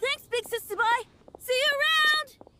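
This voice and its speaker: A woman's voice: extremely high pitch, measured pace, bright, forceful tone, heavily acted. A woman voicing a small, earnest, stammering child in a video game, timid but eager. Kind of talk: cartoonish game voice acting.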